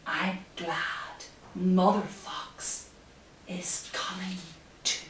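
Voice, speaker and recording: A middle-aged woman presenting story time for young children, expressive and animated, in simple phrases addressed to little ones. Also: lowish voice, extremely slow, a little hiss or hum. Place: living room, indoors. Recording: roomy, distant camera microphone.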